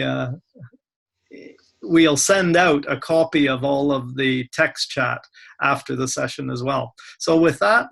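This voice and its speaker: A man's voice: a little high, vocal fry, a bit bright. A man hosting a live online session, giving casual housekeeping notes with a joking tone. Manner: casual, joking about logistics. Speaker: course host. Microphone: video call.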